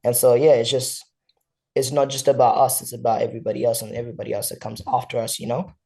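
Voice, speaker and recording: This is a young man from South Sudan, a fighter appearing as a podcast guest. His voice is warm and mellow at a middling pitch. He speaks in casual slang and keeps checking in with "you know". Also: steady tone, fast talker. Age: young adult. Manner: laid-back slang, frequent "you know". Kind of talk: casual interview.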